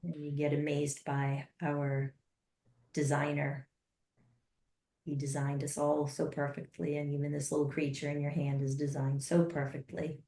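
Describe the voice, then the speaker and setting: Woman, low voice, flat and even, slow soft guided meditation, roomy echo. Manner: slow, soft and unhurried with long pauses.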